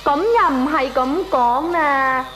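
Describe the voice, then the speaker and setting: An actress playing an exasperated, nagging neighbour who lectures indignantly in a radio comedy drama, with a Hong Kong Cantonese accent. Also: female voice, sits high, a bit bright, soft background music. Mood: reproachful. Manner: nagging, lecturing, drawn-out emphatic protests. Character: exasperated, scolding female neighbour, indignant and reasoning.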